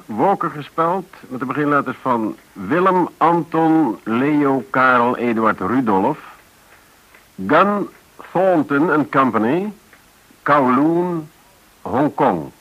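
An actor in a radio play as a reserved, dry former officer who speaks tersely. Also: male voice, a little high, animated, slow pace, muffled recording. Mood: businesslike. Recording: radio drama with faint background noise.

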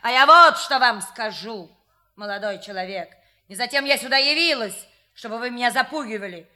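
Brash, high-voiced vulgar woman. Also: moderate pace, pushed and bright. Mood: mocking, defiant. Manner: sneering, mocking, combative. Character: brash, vulgar, defiant woman who threatens and taunts. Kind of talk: stage comedy audio play acting.

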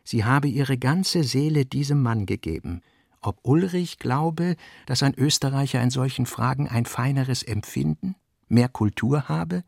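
A male narrator with a medium-pitched, creaky voice reads literary prose in a dry, close recording. His phrasing is measured and deliberate. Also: a little mellow, no room sound.

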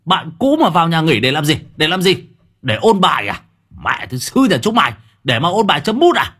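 A man voicing a furious, hot-tempered young husband who curses and shouts. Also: lowish voice, big pitch swings, brisk, husky, full character voice. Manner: cursing, shouting.

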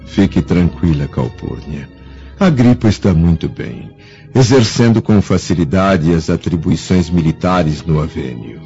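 An actor playing a dignified Roman senator and father, speaking in a formal, measured radio drama manner. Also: low-pitched, animated, vocal fry, dark tone, breathy edge, exaggerated voice. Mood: calm, assured. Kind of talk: theatrical radio drama acting. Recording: muffled lo-fi radio drama with music.

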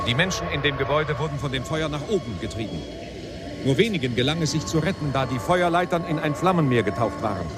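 A man's voice: mid-range voice, close to an everyday voice. An actor playing a male street reporter, giving a formal narrative news report in a radio drama. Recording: loud outdoor city traffic noise.

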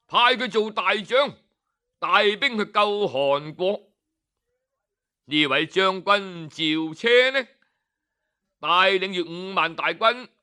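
Hong kong cantonese storyteller guy, high bright voice, takes his time, dramatic pauses. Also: clear, resonant tone. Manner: leisurely, expressive, with drawn-out particles and dramatic pauses.

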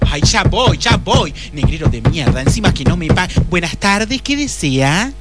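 Flighty, sassy office secretary, played by a woman, Argentine, highly animated delivery with grumbling asides, for radio comedy. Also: a little slow, over loud music. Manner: exclamatory, sassy, muttering complaints. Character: scatterbrained, cheeky, gossipy female office secretary. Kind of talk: comic radio play acting.

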